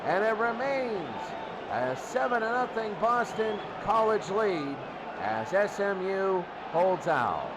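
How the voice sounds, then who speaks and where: High-pitched man calling football over roaring crowd. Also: big pitch swings, slow. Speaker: play-by-play announcer. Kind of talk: excited football play-by-play commentary. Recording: noisy game broadcast, loud crowd. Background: loud crowd and heavy background noise.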